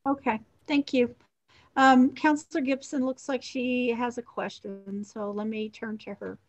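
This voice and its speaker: A woman's voice: fairly high pitch, steady tone, a little slow, lo-fi sound. A woman in her fifties chairing a formal council meeting on a video call and putting questions.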